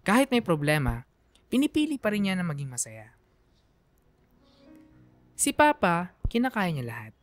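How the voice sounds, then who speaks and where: A woman's voice: fairly low pitch, very animated, moderate pace, pauses often, no room sound, soft background music. A woman with a Filipino accent giving an emotive, reflective scripted narration for a radio drama.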